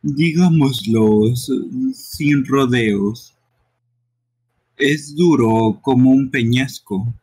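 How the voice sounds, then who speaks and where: Man voicing a smug slow-talking gas monster. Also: medium pitch, darkish tone, slightly rough, low-quality recording. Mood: boastful. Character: smug bullying male poison-gas creature villain. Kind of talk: amateur live game dubbing.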